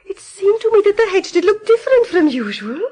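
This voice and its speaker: An actress playing a grieving farmer's wife who recounts her husband's death haltingly in a radio drama. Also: very high voice, very animated, a little mellow. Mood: grieving, bewildered.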